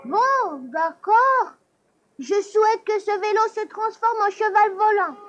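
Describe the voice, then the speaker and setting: A cheeky young cartoon boy, full of brash mischief. The voice sits very high, childlike and squeaky. He talks back and teases with a grin in every line. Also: takes it slowly, a bit bright, highs cut off, faint music behind, heavily acted. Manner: brash, teasing. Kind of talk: dubbed cartoon voice acting.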